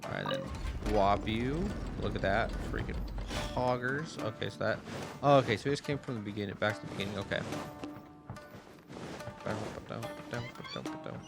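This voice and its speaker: A man gives casual, slangy commentary on a game he is streaming. His pitch jumps all over and drops into a creaky fry. He throws in playful sound effects and repeated hype phrases over loud game music. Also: big pitch swings, moderate pace. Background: video game music and effects.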